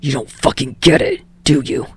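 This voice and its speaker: Brief: woman doing a husky, gritted-teeth young tough guy, low and grumbling. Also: huge pitch swings, moderate pace, heavily acted. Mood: angry. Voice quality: low, hushed and gravelly. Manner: growls and curses through clenched teeth. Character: gruff, brooding young male actor, sweary. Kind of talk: podfic reading.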